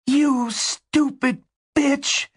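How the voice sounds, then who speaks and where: Man voicing a high-pitched, bitter, defiant teenage girl. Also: lively intonation, a little slow, no echo, studio quality. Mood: furious. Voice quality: strained, rasping when angry.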